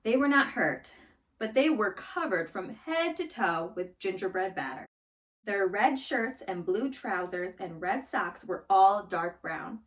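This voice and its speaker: A woman reading a children's story aloud in an expressive, dramatic storytelling manner. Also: mid-range voice, a little slow, recorded from a distance. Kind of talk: scripted storybook read-aloud.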